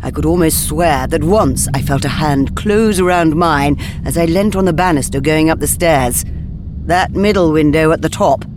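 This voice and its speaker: An actress with an English accent playing a refined, aristocratic woman writer in a wistful, measured first-person audio monologue. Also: low voice, noisy background, natural voice. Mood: rueful. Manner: measured, literary, genteel delivery. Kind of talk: scripted first-person monologue, reflective storytelling.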